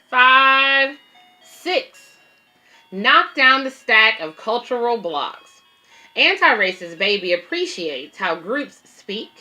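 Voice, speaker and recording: A woman's voice: a little high, pushed and bright, low-quality recording. A woman reading a picture book aloud as a babysitter, explaining it simply to children and parents with casual asides. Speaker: babysitter and children's story reader.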